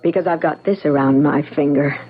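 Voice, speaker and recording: An actress playing a defiant, sharp-tongued young woman, firm and indignant, in old-time radio drama style. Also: sits low, a little fast, breathy edge. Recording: dull, muffled old radio sound.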